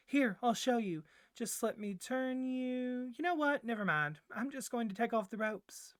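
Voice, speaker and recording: A woman voicing a doting, clingy young yandere boyfriend kidnapper in a soft ASMR roleplay, gushing and coaxing, with flustered hesitations. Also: medium pitch, dry recording, clean studio sound, close to an everyday voice. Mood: sheepish, affectionate.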